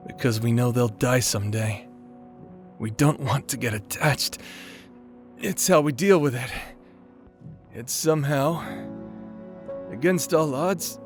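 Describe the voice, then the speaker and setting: A weary warrior at the end of his life , acted by a man, speaking slowly to someone he is fond of. He is gentle, halting and self-deprecating, in an audio drama style with faint music behind him. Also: mid-range voice. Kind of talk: audio roleplay dramatic acting.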